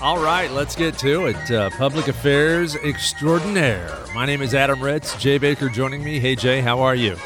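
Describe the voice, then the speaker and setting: A man's voice: mid-range voice, normal speed, hardly any pauses, music in the background. A man hosting a public affairs radio talk show, chatting casually with a stuffy nose and many fillers and restarts. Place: radio studio.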